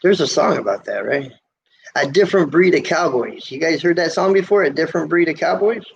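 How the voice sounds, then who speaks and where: A middle-aged man from the United States with a squeaky voice, hosting a casual livestream from a motel room, rambling in a folksy way and answering viewer comments. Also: animated, brisk, creaky. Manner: rambling, folksy, with many fillers and restarts. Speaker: trucker livestream host. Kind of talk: casual live chat reading viewer comments. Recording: lo-fi livestream audio, faint noise.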